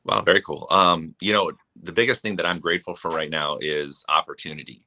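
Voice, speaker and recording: A young man with a low voice and a bright, forceful edge. He talks earnestly as a guest on a live stream and often restarts mid-sentence. Originally from Japan. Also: steady tone, fairly quick, dull audio. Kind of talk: casual chat.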